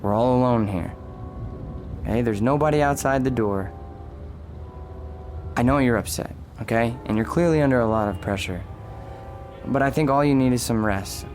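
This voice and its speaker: A man's voice: mid-range voice, moderate pace, pauses often, darkish tone, faint music behind, lightly acted. An actor playing a policeman in a radio drama, hesitant, conversational and placating. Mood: gentle, reassuring. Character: reassuring, injured policeman humouring an old woman. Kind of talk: radio drama acting, naturalistic.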